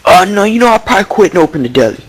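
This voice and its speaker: A man's voice: high-pitched, big pitch swings, very fast, husky, full character voice, low-quality recording. A man playing a dim-witted young baseball prospect who rambles with slang and lots of fillers. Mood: cocky, rambling. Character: dim-witted young male baseball prospect, deli-obsessed, Bronx swagger. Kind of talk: improvised mock interview.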